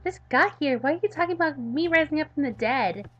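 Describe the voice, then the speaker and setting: A woman plays a peppy, oblivious girl for a visual novel, high and very swoopy. She gushes and pleads, always eager to help, over a music bed. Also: fairly quick, muffled recording. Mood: chirpy, oblivious. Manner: excitable, pleading, gushing.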